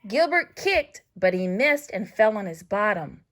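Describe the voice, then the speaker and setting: A woman reading a children's story aloud as scripted narration, with expressive, deliberate phrasing for young listeners. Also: mid-range voice. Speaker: storybook reader.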